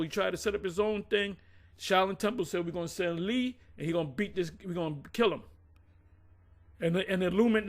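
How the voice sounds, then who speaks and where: A man in a casual conversation, recounting past events bluntly and with rough language, often restarting his sentences. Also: sits high, a bit bright. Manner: blunt, profane, rambling with many restarts. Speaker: former group member recounting events.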